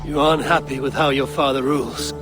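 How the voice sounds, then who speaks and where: An actor playing a rugged Norse Viking warrior in a video game, measured and dryly teasing. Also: male voice, mid-range voice, steady tone, over music, close to an everyday voice. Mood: calm. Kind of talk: video game cutscene acting.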